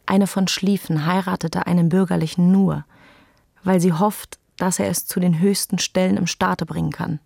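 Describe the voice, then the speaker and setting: Creaky low-voiced woman narrating. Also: steady tone, normal speed, darkish tone, dry recording, clean studio sound. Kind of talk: literary scripted narration for a radio play.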